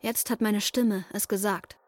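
A woman's voice: medium pitch, fairly even intonation, measured pace, dry recording, close to an everyday voice. An actress playing an intense, troubled young woman who narrates her breakdown in a wry, matter-of-fact way in a radio play.

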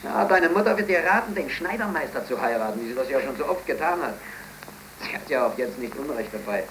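A man's voice: medium pitch, animated, fast talker, lightly acted. In a noisy 1930s German radio play, an actor plays a bitter, despairing unemployed blacksmith in his twenties, theatrical and brooding. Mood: bitter, resigned. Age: 20s.

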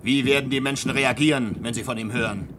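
A man's voice: medium pitch, steady tone, quick pace, lightly acted. A man acting a proud, defiant inventor in a German science-fiction radio play, lecturing in a pedantic, impassioned way. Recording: very noisy old radio play recording.

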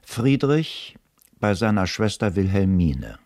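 A man's voice: lowish voice, big pitch swings, takes it slowly, a little mellow, dry recording, clean studio sound. A man reading scripted narration for a radio play.

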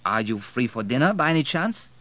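Man as a middle-aged hotel magnate, wry, courteous, bright voice. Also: medium pitch, big pitch swings, a little fast, dry recording, voice pushed up.

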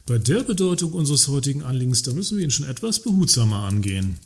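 An actor playing an ingratiating works council member in a satirical radio play, mock-deferential in manner. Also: male voice, mid-range voice, big pitch swings, quick pace. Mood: cautiously deferential.

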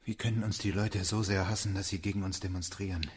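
An actor playing an idealistic, questioning engineer in a radio drama, plain and sincere in manner. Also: male voice, low-pitched, level tone, fast, very noisy, natural voice. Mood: troubled. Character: questioning, idealistic male space engineer and inventor. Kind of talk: German radio drama acting. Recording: radio drama with music underneath. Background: music.

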